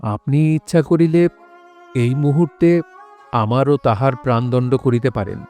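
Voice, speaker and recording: A man's voice: medium pitch, steady tone, measured pace, warm tone, faint music behind, close to an everyday voice. A man playing a noble, loyal courtier in an audio drama, speaking formally and earnestly. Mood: humble, pleading. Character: noble, loyal, humbly pleading male courtier. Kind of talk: Bengali audio drama acting.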